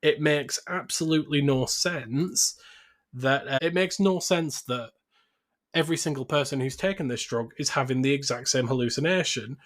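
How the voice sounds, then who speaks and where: A man talking casually as a podcast host about film, opinionated and swearing freely. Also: mid-range voice.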